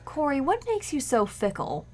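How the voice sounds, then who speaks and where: A woman's voice: high voice, big pitch swings, moderate pace, mellow. A woman playing a cheerful, scatterbrained young housewife in 1940s-style radio comedy, with a breezy, innocently matter-of-fact manner.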